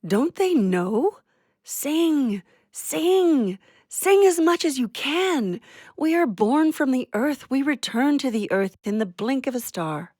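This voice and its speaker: A woman's voice: sits high, animated, takes it slowly, darkish tone, no room sound, studio quality, exaggerated voice. A woman playing a poetic, philosophical little warbler in an audio drama, with a lyrical, declamatory delivery. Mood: exultant.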